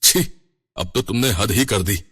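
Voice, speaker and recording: A man's voice: lowish voice, unhurried, pushed and bright, breathy edge, exaggerated voice. A man voicing pointed, sarcastic young men, sons and their friends, in a cartoon story. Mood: angry.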